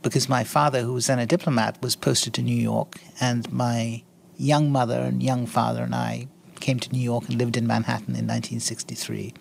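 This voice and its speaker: A man in his sixties from India, a guest in an interview, speaking in an articulate, measured way with frequent ums and restarts. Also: medium pitch, little pitch movement, gravelly, slight background noise. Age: 60s. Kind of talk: interview conversation.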